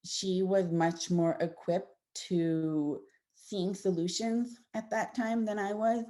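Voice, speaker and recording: A woman's voice: medium pitch, fairly even intonation, slow, mellow. A woman audiologist giving a conversational presentation to parents, explaining with everyday examples and frequent fillers and restarts. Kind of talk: informal presentation with slides.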